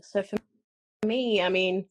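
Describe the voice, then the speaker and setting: A woman's voice: mid-range voice, steady tone, takes it slowly. A woman with a South African twang, a guest artist chatting casually on a podcast, rambling with fillers and restarts.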